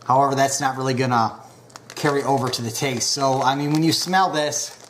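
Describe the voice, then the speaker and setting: A man casually reviewing pipe tobacco, unhurried and full of filler pauses, a bit stuffed up from a recent cold. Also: mid-range voice, steady tone, slight background noise.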